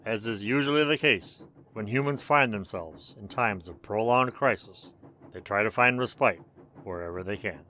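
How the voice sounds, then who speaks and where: An actor playing a mysterious, sinister but courteous host, narrating radio horror in a measured, theatrical way. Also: male voice, lowish voice, pushed and bright, a little hiss or hum. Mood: ominous, inviting.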